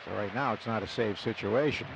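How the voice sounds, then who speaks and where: A man's voice: fairly low pitch, brisk, creaky, noisy background. An American man calling baseball play-by-play live on a radio broadcast. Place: stadium broadcast booth. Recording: muffled radio broadcast, loud stadium ambience. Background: loud ballpark ambience.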